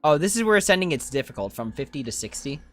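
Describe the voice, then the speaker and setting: A game streamer doing casual commentary, thinking out loud and chatting in slang while reading viewer comments. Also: mid-range voice, sing-song, quick pace.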